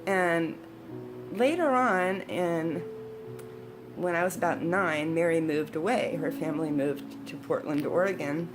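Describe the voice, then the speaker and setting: A woman in her sixties speaks in a distinctly low register. She reminisces casually and takes her time, in a conversational storyteller's manner. Guitar music plays clearly behind her voice. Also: measured pace, low-quality recording. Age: 60s. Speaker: storyteller, video host. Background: instrumental guitar music.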